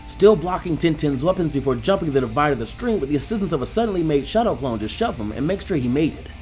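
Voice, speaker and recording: A man narrates an action story in a high, lively voice that moves around a lot in pitch. He reads scripted prose as an audiobook, with faint music behind him. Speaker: fan fiction reader.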